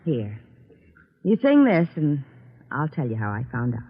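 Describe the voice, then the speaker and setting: A woman's voice: extremely low pitch, wildly swooping pitch, a little slow, exaggerated voice. A woman playing a playful, nosy detective's girlfriend in an old radio drama, teasing and coy. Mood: teasing, amused. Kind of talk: old-time radio comedy-drama acting. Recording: tinny, phone-like old radio recording.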